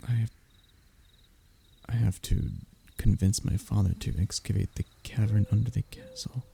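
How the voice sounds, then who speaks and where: A man playing a lovesick young royal heir in an intimate romance roleplay, stammering and hesitant, with a husky, breathy voice. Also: fairly low pitch, flat delivery, normal speed, warm tone, a little hiss or hum. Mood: stunned, overjoyed. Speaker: voice actor in audio roleplay.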